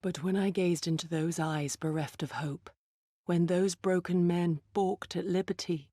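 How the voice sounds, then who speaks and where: A woman playing an earnest, dutiful ninja resistance fighter in a video game, speaking in a solemn, measured and formal way. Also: on the low side, steady tone, mellow, no echo, studio quality. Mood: grave, heartfelt. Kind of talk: dramatic video game acting.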